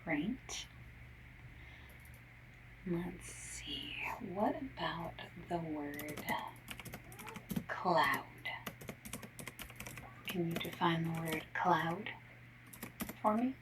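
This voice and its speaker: A woman doing an ASMR survey-caller roleplay, gently and politely asking questions in breathy soft speech and whispers. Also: on the low side, extremely slow, long pauses. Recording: lo-fi, noisy, slightly distant mic. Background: mechanical keyboard typing.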